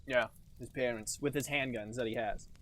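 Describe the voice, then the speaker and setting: A man's voice: mid-range voice, steady tone, normal speed, a bit bright. A young adult man co-hosting a casual podcast, cracking jokes with mock-grandiose asides. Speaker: podcast co-host. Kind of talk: casual chat.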